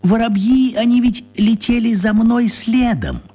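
An actor playing a tiny, apologetic old wizard gentleman in a children's radio play, husky and fussy, courteous and repeating himself. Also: very high voice, unhurried, heavily acted. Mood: embarrassed explaining.

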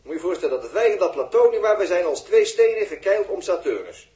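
Animated, sardonic spaceship technician, roomy. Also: male voice, high voice. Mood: impatient, sarcastic. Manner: sardonic, condescending, halting while busy. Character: gruff, sarcastic male spaceship technician, impatient explainer. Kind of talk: 1960s Dutch radio drama acting.

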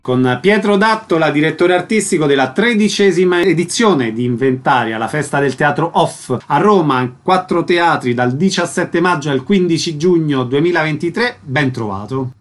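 A man's voice: on the high side, animated, normal speed, few pauses. A man working as a radio interviewer, delivering prepared announcements and questions.

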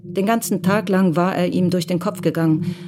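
A woman's voice: on the low side, fairly even intonation, normal speed, music in the background. A woman reading scripted literary narration for a German radio crime drama.

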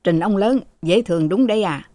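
A woman's voice: medium pitch, a little fast, dark tone, no room sound, lightly acted. A woman reading aloud, voicing a humble, fawning old manservant. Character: timid old male servant, deferential.

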